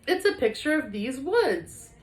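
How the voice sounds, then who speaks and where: A woman's voice: high voice, very animated, unhurried, voice pushed up. A woman voicing a cautious, bookish young boy in a storybook, earnest and worried. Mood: curious, amazed. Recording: echoey room.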